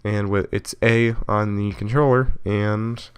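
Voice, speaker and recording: A man narrating a software tutorial casually, explaining step by step in an informal way and correcting himself as he goes. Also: lowish voice, fairly even intonation, a little slow, smooth. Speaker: video tutorial host.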